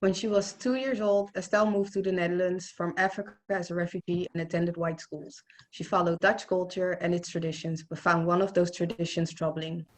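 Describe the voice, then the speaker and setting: A woman with a somewhat low voice narrates from a script. She serves as narrator, telling another person's story. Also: fairly even intonation, brisk, poor audio.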